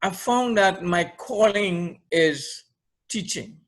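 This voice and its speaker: Mature male podcast guest, Trinidadian accent, fairly high voice, conversational leadership trainer. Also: slow pace. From Trinidad and Tobago. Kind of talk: conversational interview, recounting career.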